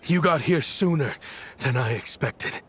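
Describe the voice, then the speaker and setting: Grim, slow-spoken avenger. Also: male voice, medium pitch, expressive, fairly bright tone, a little hiss or hum. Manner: grim, deliberate, intense. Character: bitter, remorseful adult male weapons researcher seeking revenge. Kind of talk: video game voice acting.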